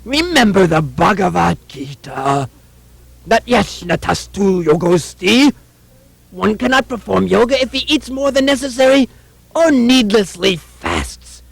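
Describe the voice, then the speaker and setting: A man's voice: sits high, expressive, unhurried, vocal fry, no room sound, heavily acted. A man voicing a preachy, critical monk in a puppet show, scolding with emphatic delivery. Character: fault-finding male Indian monk, preachy and critical.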